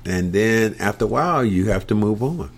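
A middle-aged sports broadcaster with a somewhat low voice talks through a topic in relaxed podcast chat. He is reflective and measured, and his speech is often broken up by hesitant fillers. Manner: reflective, halting with frequent fillers. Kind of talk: casual sports talk.

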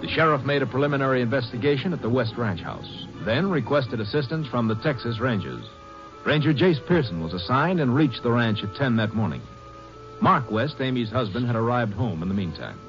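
A man narrating a 1950s radio drama in a formal, measured, dramatic documentary style. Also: mid-range voice, very animated, over music. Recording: dull old 1950s radio recording.

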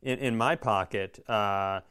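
A man's voice: lowish voice, slow, a bit bright, clear, resonant tone. A man co-hosting a comedy podcast, talking in casual banter and profane, exasperated rants. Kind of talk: casual comic banter and ranting.

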